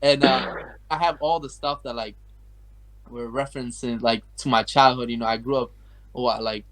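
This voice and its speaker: A man's voice: mid-range voice, steady tone, normal speed, fairly bright tone, poor audio. A young man from Afghanistan, a guest artist chatting casually and rambling, with lots of filler words as he tells his story. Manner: rambling, heavy use of like and you know. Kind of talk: casual interview chat.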